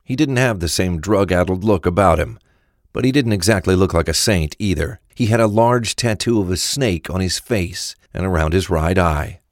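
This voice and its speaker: A man's voice: sits low, moderate pace, dry recording, studio quality. A man narrating a scripted crime audio drama.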